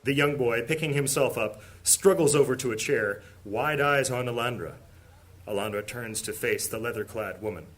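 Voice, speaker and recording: A man's voice: mid-range voice, normal speed, a bit bright, natural voice. A man narrating a fantasy audio drama as a solemn storyteller, reading scripted dramatic prose.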